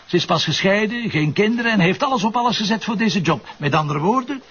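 A man's voice: high voice, animated, quick pace, fairly bright tone. A man with a Flemish accent acting as a decisive, stubborn police commissioner in a television crime drama, curt and authoritative. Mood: firm, insistent. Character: decisive, stubborn male police commissioner running a risky plan. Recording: dull lo-fi audio with faint noise.

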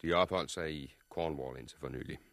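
An actor in a 1960s radio drama playing a confident, courteous helicopter pilot who explains things matter-of-factly as a messenger from a survivors' colony. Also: male voice, very deep voice, creaky, fairly bright tone, no room sound, full character voice. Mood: courteous, businesslike.

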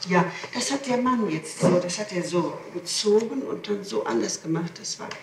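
A woman is being questioned, and she answers in an explanatory, insistent way, often repeating herself. Her voice has a medium pitch and sits far from the microphone in a very echoey room. It is an old tape with some hiss. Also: expressive, moderate pace. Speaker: person being questioned by a prosecutor. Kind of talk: answering questions in a formal interrogation. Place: reverberant room, interrogation recorded on tape. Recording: echoey archival tape, distant mic, hiss.

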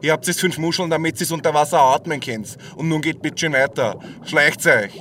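A man's voice: fairly high pitch, brisk, sharp tone. A man playing an exasperated, complaining theatre troupe director in a comedic audio drama. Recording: distant mic, faint background noise.

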